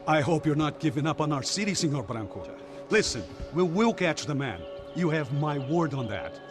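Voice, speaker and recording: Actor as a smug, lively Brazilian police official. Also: male voice, a little high, moderate pace, lightly acted. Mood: assuring. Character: self-assured, condescending Brazilian police official. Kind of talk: cinematic video game acting. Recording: music behind the voice.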